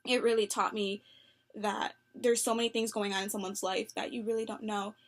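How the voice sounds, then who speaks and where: A young adult woman answering phone interview questions in an earnest, upbeat way, with many fillers and soft laughs. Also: medium pitch, flat delivery, fairly quick. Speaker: job applicant.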